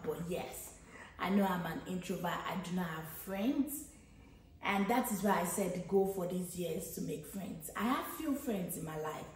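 A woman in her thirties from Nigeria with a Nigerian accent, chatting casually as a vlogger and thinking aloud with frequent restarts. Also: fairly low pitch. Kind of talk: casual get-to-know-me chat. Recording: very echoey room.

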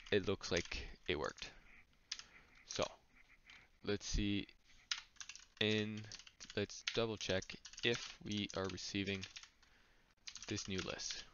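A man explaining code as he types, thinking aloud with small slips. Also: lowish voice. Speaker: coding tutor. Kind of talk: screen-recorded coding walkthrough. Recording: dull lo-fi mic with noise. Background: keyboard typing and clicks.

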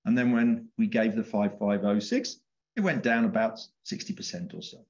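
A man's voice: lowish voice, moderate pace. A middle-aged man presenting research findings on a video call, explaining step by step. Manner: explanatory, with fillers and restarts. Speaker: chief scientific officer. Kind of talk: webinar presentation. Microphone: webcam on a video call. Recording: dull lo-fi call audio.

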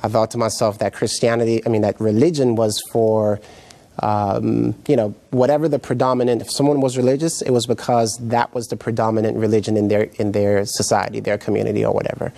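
A middle-aged man from Jamaica, raised in New York, giving his personal testimony as a guest pastor in a halting, reflective way. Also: lowish voice, little pitch movement, vocal fry, mellow. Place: indoor TV studio set, seated.

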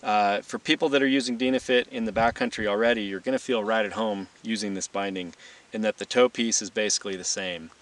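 A man's voice: on the low side, fairly even intonation, fairly quick. A man gives an informal gear demonstration as an instructor, explaining things in a plain-spoken, matter-of-fact way. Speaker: instructor and presenter. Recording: distant mic, light background noise.

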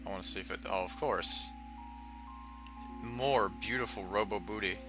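An American man from Ohio giving casual, rambling, profane gameplay commentary in a monotone manner. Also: lowish voice, moderate pace. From United States, Ohio. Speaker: let's play host. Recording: game music behind the voice. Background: video game music.

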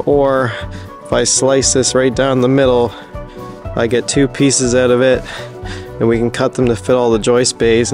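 Even-toned man casually explaining a build, music behind. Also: mid-range voice. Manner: plain-spoken, practical, informal. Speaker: host of a DIY building update vlog. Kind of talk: casual explanatory walkthrough of construction work.

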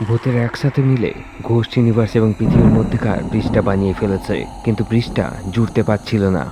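A man's voice: on the low side, level tone, fast, very noisy. A man delivering scripted narration for a cartoon ghost story. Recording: music behind the voice.

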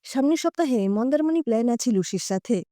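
A woman narrating a scripted audio story in an expressive storytelling style. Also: a little high, a little fast, no echo, studio quality.

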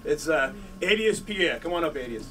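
A man's voice: fairly high pitch, lively intonation, moderate pace, bright, forceful tone. An older man hosting a community meeting, introducing the next speaker in an upbeat, joking way. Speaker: meeting host. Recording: distant mic with faint room noise.

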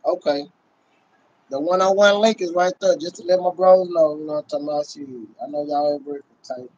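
Man, high voice, casual sports livestream talk, slang, frequent swearing, repeated catchphrases, phone recording indoors. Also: steady tone, fairly quick, a little mellow, lo-fi sound. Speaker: livestream host and Cowboys fan. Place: small room indoors. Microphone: phone at close range.

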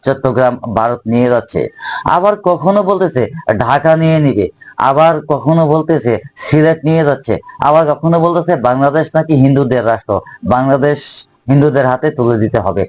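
Male commentary host, Bangladeshi accent, average pitch, opinionated delivery. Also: fairly quick, a little mellow. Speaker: video host.

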